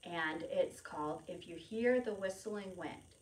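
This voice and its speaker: A woman's voice: on the low side, measured pace, darkish tone. A woman leading a storytime lesson for small children, explaining in simple repeated phrases and prompting them to join in. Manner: refers to self in third person. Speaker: preschool storytime presenter at a public library. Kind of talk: children's lesson, demonstrating to young viewers at home.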